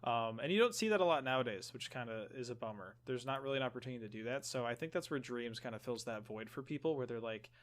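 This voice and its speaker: A man's voice: mid-range voice, animated, fast, hardly any pauses. A man co-hosting a gaming podcast, chatting casually with a joking, teasing manner. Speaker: podcast co-host.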